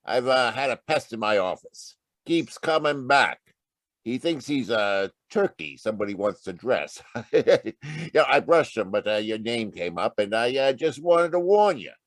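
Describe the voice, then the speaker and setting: A man's voice: medium pitch, lively intonation, unhurried, no echo, studio quality, lightly acted. A man playing a shady, pushy private eye, chummy and slangy, in 1940s radio drama style.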